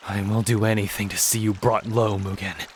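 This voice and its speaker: A man voicing a noble, earnest young warrior prince in a video game, speaking gravely and solemnly over dramatic music. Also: on the low side, steady tone, a little hiss or hum, natural voice. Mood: grimly determined. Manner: grave, measured, solemn delivery.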